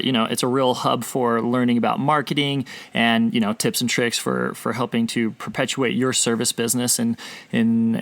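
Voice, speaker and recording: A man's voice: mid-range voice, a bit bright. Brief: male podcast host, casual, rambling intros, lots of fillers. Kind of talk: casual interview chat.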